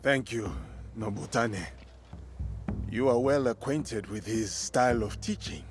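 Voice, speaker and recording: An actor playing an earnest, respectful warrior in samurai training in a video game, speaking in a formal, composed way. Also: male voice, mid-range voice, a little slow, noisy background, close to an everyday voice. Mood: grateful, curious. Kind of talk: dramatic video game acting.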